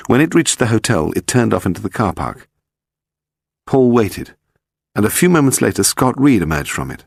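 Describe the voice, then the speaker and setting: A man with a low voice narrates a scripted crime story. His intonation is expressive and varied, and the recording is close and dry. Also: dry recording. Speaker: audiobook narrator.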